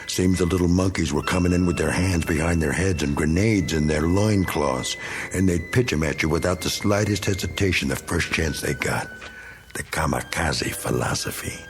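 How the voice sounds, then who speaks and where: A male actor plays a middle-aged American war veteran with a low voice, full of bluster and self-pity. He needles and rants in slangy tough talk, like a bitter, boozy bigot in a radio play. Faint music runs underneath. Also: moderate pace, natural voice. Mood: defensive. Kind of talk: dramatic radio play acting.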